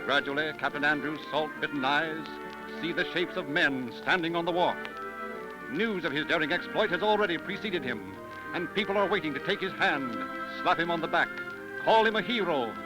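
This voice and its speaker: A man's voice: a little high, moderate pace, poor audio. A man narrating in the theatrical, emphatic style of a 1930s radio drama. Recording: old 1930s radio broadcast, music behind. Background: orchestral dramatic music.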